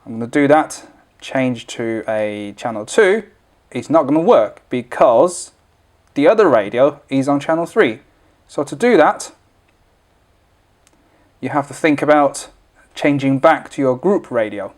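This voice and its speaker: A man's voice: mid-range voice, lively intonation, a little slow, pauses often. A man presenting an informal, unscripted product tutorial, explaining things step by step as he demonstrates.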